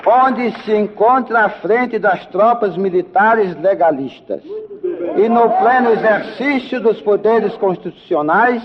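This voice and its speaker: A man's voice: high-pitched, slow, crowd noise. A man with a Brazilian accent formally reading out an official communication. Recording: dull, noisy archive recording.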